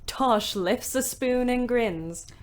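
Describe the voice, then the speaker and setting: A woman's voice: fairly high pitch, takes it slowly. An actress playing a young ambulance driver who narrates her war diary with dry, wry humour. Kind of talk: audio drama narration in diary form.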